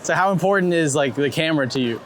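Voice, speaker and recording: A male host interviews a guest on a city street, his questions relaxed and curious. His voice sits a little high for a man. Traffic and street noise come through clearly behind him. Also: fast. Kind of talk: casual walking interview.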